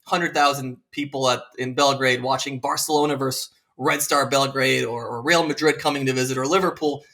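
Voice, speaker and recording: A man's voice: mid-range voice, steady tone, brisk, few pauses, pushed and bright. A man from Serbia hosting a podcast, talking earnestly and thoughtfully with many fillers. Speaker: podcast host. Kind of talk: casual conversational interview.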